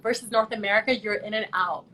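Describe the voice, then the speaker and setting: A woman's voice: medium pitch, fairly even intonation, fast, over a noisy crowd. A young woman from Canada, a pageant contestant, chatting earnestly and thoughtfully over a video call. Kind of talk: casual video call chat.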